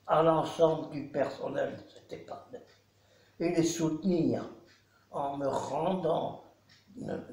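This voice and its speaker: A man in his nineties from Belgium, a care home resident, giving a solemn, measured spoken testimony. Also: on the high side, darkish tone. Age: 90s. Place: nursing home. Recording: echoey room.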